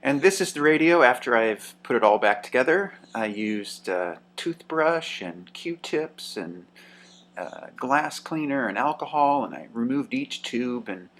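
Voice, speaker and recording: A man casually narrating a show-and-tell about a vintage radio he restored, relaxed and pausing often with "uh" and "um". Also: medium pitch. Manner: relaxed, with frequent "uh" and "um".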